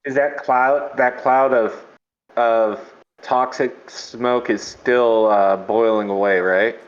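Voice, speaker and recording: A man plays in a tabletop game, choosing his words slowly and haltingly. His voice sits at a middle pitch. The sound is dull and lo-fi. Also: steady tone. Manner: hesitant, halting. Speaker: player.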